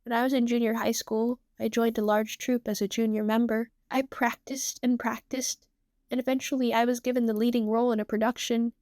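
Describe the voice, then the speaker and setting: A woman's voice: fairly high pitch, level tone, a little fast, no room sound, studio quality, natural voice. A woman voicing a shy, self-blaming teenage girl in a story reading, hesitant and prone to stammering. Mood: hesitant, earnest. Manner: hesitant, stammering, soft-hearted.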